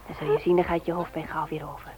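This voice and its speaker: An actress playing a caring, gently nagging wife and mother in a Dutch radio drama, coaxing and firm. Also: sits low, level tone, fast, crowd noise, natural voice, low-quality recording. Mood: soothing. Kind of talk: Dutch radio play acting, domestic scene.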